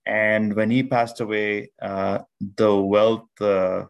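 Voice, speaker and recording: Measured, lower-voiced man from Pakistan. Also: little pitch movement, clear, resonant tone. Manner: measured, with frequent restarts and fillers. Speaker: podcast guest. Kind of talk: reflective storytelling interview.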